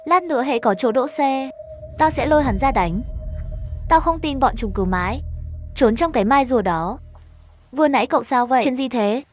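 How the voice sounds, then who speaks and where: A woman's voice: high voice, lively intonation, normal speed, pauses often, soft background music. A woman reading a scripted horror story, narrating and speaking all the characters' dialogue herself.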